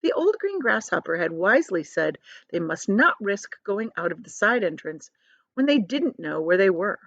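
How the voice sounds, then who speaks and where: A woman's voice: medium pitch, sing-song, normal speed, a bit bright, dry recording, dull audio. A woman reading a story aloud to children like a teacher, expressively, with simple explanatory asides.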